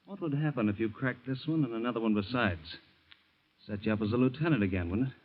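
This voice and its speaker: An actor playing a cocky, scheming safecracker with quick tough-guy talk, in 1940s radio acting. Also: mid-range voice, narrow, tinny audio, lightly acted.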